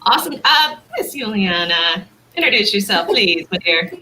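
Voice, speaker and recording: A woman's voice: mid-range voice, expressive, normal speed, bright, forceful tone, a little hiss or hum, low-quality recording. A woman hosting a panel chat, enthusiastic and gushing over her guests. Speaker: host.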